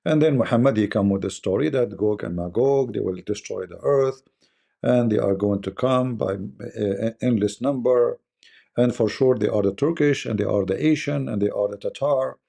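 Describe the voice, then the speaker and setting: Blunt, scornful streamer, male, Arabic accent, dark gravelly voice. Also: fairly low pitch, moderate pace. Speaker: host. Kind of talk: live debate stream talk.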